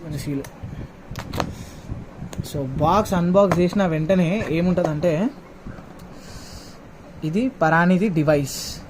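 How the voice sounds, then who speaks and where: High-pitched man with a Telangana accent. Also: slow, pauses often, a little mellow, low-quality recording. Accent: Telangana Telugu. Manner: informal, mixes English words into Telugu. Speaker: motovlogger. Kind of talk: casual unboxing vlog chat. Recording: faint background noise.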